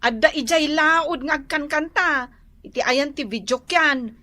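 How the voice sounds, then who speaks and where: A woman's voice: high voice, unhurried, bright, forceful tone. A woman with an Ilocano accent playing a plaintive, dutiful young child in a radio drama.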